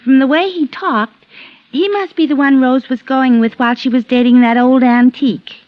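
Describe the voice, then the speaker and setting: An actress playing a fussy, chatty woman who gently scolds and teases, in a 1950s radio drama. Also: sits high, fairly even intonation, normal speed, close to an everyday voice. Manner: chatty, prim, teasing. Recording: muffled vintage radio recording.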